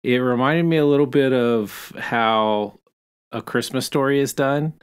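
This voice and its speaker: A man's voice: mid-range voice, unhurried, clear, resonant tone. A middle-aged or older man co-hosting a podcast, chatting casually about a book. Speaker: podcast co-host. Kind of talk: casual book discussion chat.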